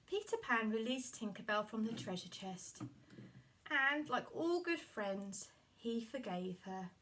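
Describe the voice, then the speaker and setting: A woman telling a children's puppet story. Her voice is high and bright, with animated delivery, recorded at some distance from the microphone. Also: measured pace, a little hiss or hum.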